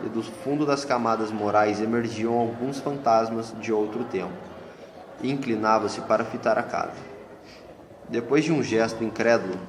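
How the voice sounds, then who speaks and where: Brazilian male narrator for radio drama, lowish pitch, reverberant room sound, scripted delivery. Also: noisy background. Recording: echoey hall, long reverb, music bed. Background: music.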